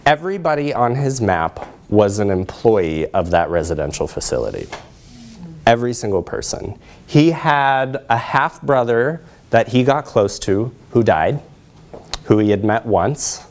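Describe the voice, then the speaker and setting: A middle-aged man presenting research in a lecture room, explaining in a measured way with frequent pauses. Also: medium pitch, big pitch swings. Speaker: presenter, social work researcher. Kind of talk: academic lecture with slides.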